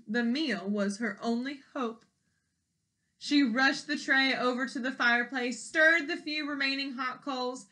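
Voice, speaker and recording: A woman reading a children's story aloud expressively, pausing in a teacherly way to ask young listeners simple questions. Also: fairly high pitch, bright, forceful tone. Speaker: storybook read-aloud reader.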